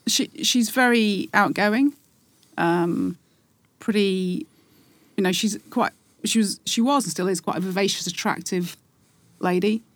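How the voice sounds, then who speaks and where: A woman's voice: mid-range voice, measured pace, dry recording. A middle-aged woman from England with a faded Geordie accent, talking reflectively as a podcast guest and rambling with many restarts. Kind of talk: casual interview chat.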